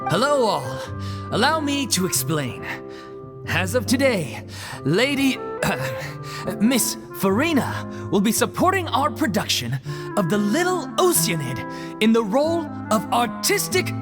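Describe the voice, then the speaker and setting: Earnest troupe player, expressive pitch. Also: male voice, slow pace, exaggerated voice. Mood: earnest, announcing. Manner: earnest, polite. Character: earnest, polite theatre troupe member, weary but grateful. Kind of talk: video game voice acting. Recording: clear music behind the voice.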